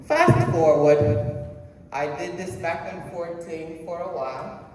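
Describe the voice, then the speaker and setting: A middle-aged woman from Jamaica, giving a personal motivational speech in a slow, deliberate way and putting questions to the audience. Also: on the low side, steady tone, a little mellow, a little hiss or hum. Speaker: presentation speaker. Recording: echoey hall heard from a distance.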